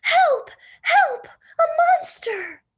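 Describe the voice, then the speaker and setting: A woman voicing a timid, sweet, excitable younger sister in a children's storybook. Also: extremely slow, heavily acted. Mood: terrified. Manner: meek, high-pitched, easily alarmed.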